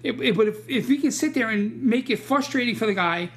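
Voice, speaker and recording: Blunt martial arts instructor, plain talk. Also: male voice, extremely high pitch, animated, a little fast, a bit bright. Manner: repeats words and restarts, blunt. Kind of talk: casual podcast conversation.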